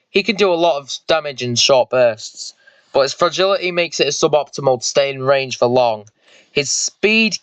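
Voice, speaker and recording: A man's voice: fairly high pitch, fairly bright tone, no echo, natural voice, rough recording. A man voicing an eager, vengeful squad leader and mech pilot in an amateur sci-fi story, informal and explanatory.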